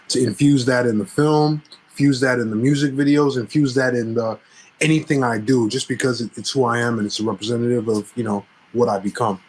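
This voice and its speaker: Man, from Cameroon, interview guest, easygoing, hesitant, mid-pitched. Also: husky, low-quality recording. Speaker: guest filmmaker. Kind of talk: casual interview.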